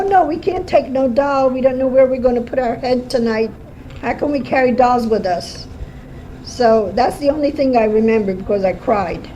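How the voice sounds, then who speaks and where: A woman in her seventies, born in Armenia, tells her story slowly in a home kitchen. She hesitates and fills her pauses with "uh." Her voice is high yet mellow, and it sits on a dull, lo-fi home tape with a faint hiss. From Armenia (Erzurum, Turkey). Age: 70s. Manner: slow, halting storytelling with fillers. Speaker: interviewee. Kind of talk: oral history interview.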